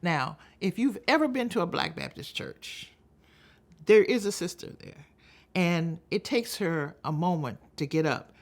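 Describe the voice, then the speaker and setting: An older American woman from Texas giving a storytelling advocacy talk, speaking deliberately and emphatically with dramatic pauses. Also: fairly low pitch, lively intonation. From Texas, United States. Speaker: public speaker.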